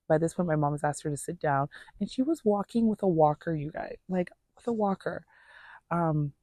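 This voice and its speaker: A woman's voice: low-pitched, warm tone, dry recording. A woman telling a personal story in a casual, earnest, conversational way, voicing reported dialogue as she goes. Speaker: storyteller vlogger.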